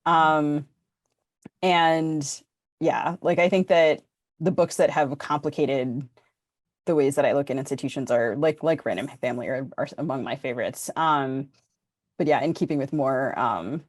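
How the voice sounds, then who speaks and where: A woman's voice: sits low, no echo. Woman hosting a book podcast, casual, stop-start with lots of likes and ums, very dry recording. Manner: halting, filler-heavy, frequent "like". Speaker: podcast host. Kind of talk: casual literary discussion.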